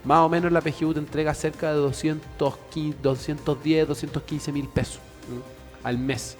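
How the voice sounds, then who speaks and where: Earnest man, a little high-voiced, speechlike, in a reverberant studio with faint music behind. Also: level tone, normal speed. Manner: earnest, speechlike, with many fillers.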